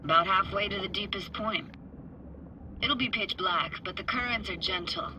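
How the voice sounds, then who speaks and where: A playful, self-assured young techie woman in a cinematic video game. She talks in laid-back slang with a teasing edge, and clear watery ambient noise runs behind her. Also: mid-range voice, moderate pace, bright, forceful tone, poor audio. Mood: focused, casual. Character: playful, self-assured young female braindance editor and diver.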